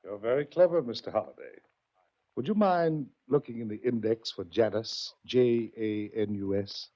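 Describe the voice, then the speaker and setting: An actor playing a sly, smug puzzle-master with mocking, precise politeness, in 1940s radio mystery style. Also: male voice, on the low side, normal speed, close to an everyday voice. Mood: smug, goading. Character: sly, smug male puzzle-master taunting his fellow passengers. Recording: dull old radio recording.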